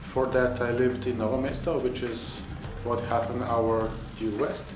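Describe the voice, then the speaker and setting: Man, professor, measured pace, echoey recording. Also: mid-range voice, level tone. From Slovenia. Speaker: university professor. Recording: echoey room. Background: music.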